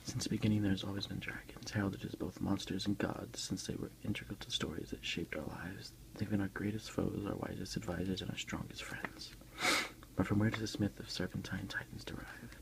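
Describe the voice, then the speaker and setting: A man speaks in a hushed, breathy whisper with a low, crackling fry. His gives the unhurried ASMR show-and-tell an informal, folksy feel. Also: steady tone. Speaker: ASMR video creator. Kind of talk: ASMR unboxing, softly narrated show-and-tell.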